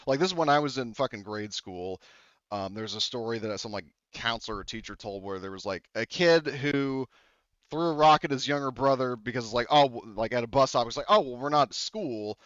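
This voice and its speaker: A man's voice: medium pitch, fairly bright tone, dry recording. A man running a tabletop game as game master, talking casually and rambling with many fillers and self-corrections. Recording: dull lo-fi dry mic.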